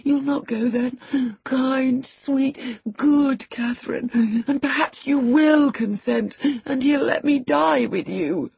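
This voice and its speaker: A woman voicing a sickly, cowardly teenage boy in an audiobook, self-pitying, pleading and halting with anguish. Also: sits high, gravelly, darkish tone, husky, no room sound, pitched above the performer's own voice, full character voice. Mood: sobbing anguish. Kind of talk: audiobook character acting.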